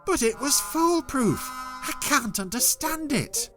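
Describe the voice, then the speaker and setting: A man voicing a greedy, excitable villain boss with theatrical, blustering delivery in an audio story. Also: extremely high pitch, slow pace, music in the background, voice pushed up, heavily acted. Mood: bitter disbelief.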